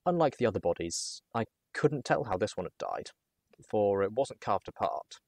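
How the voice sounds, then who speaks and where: A man reading a first-person adventure story aloud as narrator, in an expressive, dramatic manner. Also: lowish voice, moderate pace, creaky, no room sound, clean studio sound.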